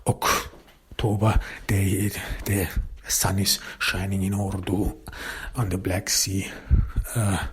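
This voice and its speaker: Man, German native speaker, very husky voice, flat delivery, halting solo podcast talk. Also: sits low. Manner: halting, many restarts. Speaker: podcast host and author. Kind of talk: casual solo podcast monologue.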